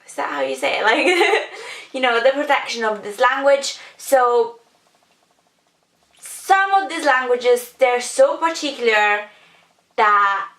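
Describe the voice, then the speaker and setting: A woman's voice: sits high, expressive, slow, pauses often, fairly bright tone. A young woman from Sicily with an Italian accent, chatting casually to camera as a vlogger, full of fillers and self-corrections. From Sicily, Italy. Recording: echoey room, mic at a distance.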